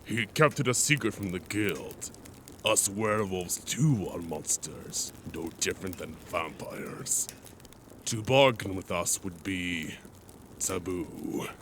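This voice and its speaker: A man voicing a proud, courteous werewolf in an audio roleplay, with a formal and deliberate way of talking. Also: deep voice, expressive, a bit bright, slight background noise, exaggerated voice. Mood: solemn. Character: dignified male werewolf, proud, courteous pack member. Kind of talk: cinematic audio roleplay acting.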